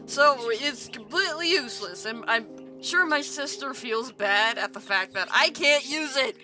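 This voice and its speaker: A woman with a somewhat high, rough-edged voice rambles through a casual live stream as she plays. She drifts from topic to topic and swears freely. Music runs clearly under her desk microphone. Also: expressive, measured pace, pushed and bright. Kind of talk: casual live stream chat while gaming. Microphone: desk microphone with mute button. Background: background music.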